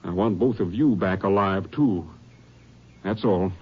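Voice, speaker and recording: Husky, stern American Rangers captain. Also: male voice, deep voice, measured pace. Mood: grave, earnest. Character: stern, earnest Texas Rangers captain briefing his men. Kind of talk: 1950s radio drama acting. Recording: muffled old radio recording.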